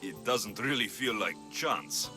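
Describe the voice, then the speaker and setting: An actor playing a principled, stern mercenary hero in a video game, speaking in a firm, measured, dryly wry way. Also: male voice, on the low side, expressive. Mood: dry, guarded. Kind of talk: video game dialogue acting. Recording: loud music behind the voice.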